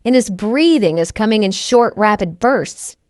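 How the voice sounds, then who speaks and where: A woman's voice: mid-range voice, animated, a little slow, darkish tone, dry recording, studio quality. A woman reading first-person scripted novel narration as an audiobook narrator.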